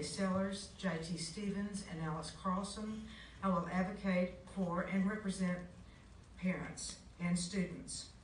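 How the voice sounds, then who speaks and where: Older woman, lowish voice, echoey campaign speech. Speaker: school board candidate, former teacher. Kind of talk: prepared, repetitive campaign speech. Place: public forum in a board room. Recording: echoey boardroom from far off, faint noise.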